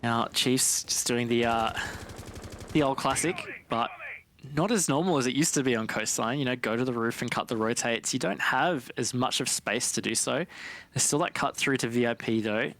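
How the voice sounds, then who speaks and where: A man's voice: medium pitch, normal speed, a bit bright, a little hiss or hum. A man giving colloquial, conversational esports commentary and analysis of live play. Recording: faint game gunfire behind voice. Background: video game gunfire.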